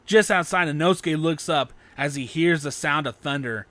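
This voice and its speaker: A man's voice: a little high, moderate pace, a bit bright, no room sound. A man reading an action fan fiction story aloud as a dramatic narrator.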